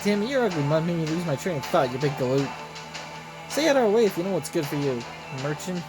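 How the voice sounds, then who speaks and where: Game sword fighter, man reading: candid, hot-headed, unsure of their own skill, higher-set male voice, clear music bed. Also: big pitch swings, fast, poor audio. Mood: irritated. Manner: colloquial, candid. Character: earnest, self-doubting sword fighter, blunt and hot-headed.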